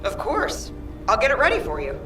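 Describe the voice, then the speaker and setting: An actress playing a sensible, caring colleague in a video game who gently cautions and scolds. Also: female voice, fairly high pitch, huge pitch swings, fairly quick, faint music behind, full character voice. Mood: concerned, chiding. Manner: cautioning, matter-of-fact.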